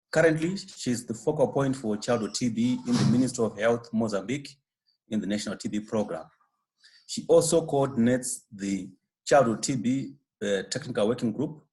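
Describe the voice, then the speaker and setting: A man's voice: mid-range voice, a little mellow, poor audio. A man chairing a webinar, politely introducing speakers in a formal manner.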